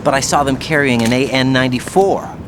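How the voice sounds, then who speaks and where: A man acting as a young male agent on a covert mission in a video game. He sounds questioning and exasperated, at a medium pitch. Clear ambient noise runs behind his lines. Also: a little fast, natural voice. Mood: incredulous. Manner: incredulous, probing questions.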